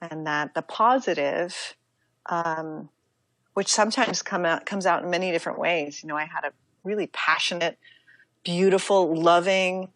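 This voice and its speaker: An American woman speaking as an interview guest, talking reflectively in a halting, casual way with many asides. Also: on the low side, fairly bright tone, dry recording. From United States. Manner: reflective, halting, with fillers and self-quoting asides. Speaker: interview guest, yoga teacher and author.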